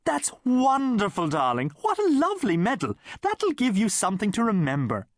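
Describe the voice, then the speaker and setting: Audiobook mother, played by a man: affected, stagey and gushing, pitched high, very animated. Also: moderate pace, no echo, studio quality, full character voice. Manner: affected, dramatic, fond of calling people darling. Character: gushing, theatrical, posh-minded mother of two boys.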